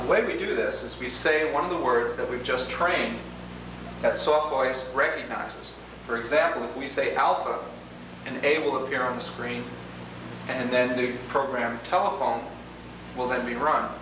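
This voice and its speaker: A man narrating an instructional software demonstration, explaining each step in order. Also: medium pitch. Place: reverberant indoor room, away from mic. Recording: very echoey, distant, noisy 1980s tape.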